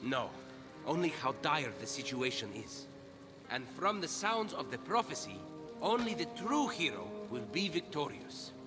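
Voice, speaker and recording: A cocky, charming young hero in a game cutscene. His voice is high for a man and very animated, breezy and self-assured. Music sits clearly behind it. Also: measured pace. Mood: confident, persuasive. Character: cocky, charming heroic big brother. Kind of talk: video game cutscene acting.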